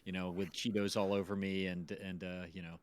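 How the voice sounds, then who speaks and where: A man's voice: sits low, flat delivery, brisk. A man chatting casually as host of a stream, enthusiastic and joking. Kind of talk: casual chat.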